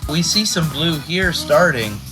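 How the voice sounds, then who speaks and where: An animated storyteller reads a children's picture book aloud in a mid-pitched voice. The delivery swings widely and expressively, dropping into chatty, playful asides, with music playing clearly behind. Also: a little slow, poor audio. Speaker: read-aloud host.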